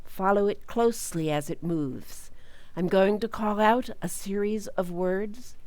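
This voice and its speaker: A woman playing a brisk, clinical psychiatrist, commanding and methodical, in a radio mystery drama. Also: medium pitch, a little mellow, no room sound, lightly acted, low-quality recording. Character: brisk, clinical female psychiatrist testing a patient.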